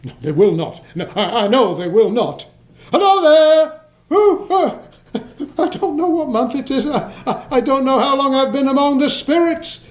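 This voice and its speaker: High-pitched, stammering old miser. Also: male voice, sing-song, normal speed. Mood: giddy relief. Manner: stammering, breathless exclamations. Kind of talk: stage-like radio play acting.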